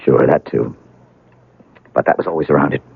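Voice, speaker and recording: An actor playing a grieving, wry and bitter heir to a wealthy house in a 1970s radio drama, speaking haltingly. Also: male voice, a bass voice, gravelly, husky, exaggerated voice. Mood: bitter, frank. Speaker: actor in a radio mystery play. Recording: narrowband old radio broadcast, dry.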